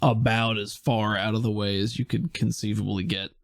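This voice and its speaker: A man narrating a tabletop game as game master, describing scenes in a relaxed way with many filler pauses. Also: on the low side, dry recording, clean studio sound.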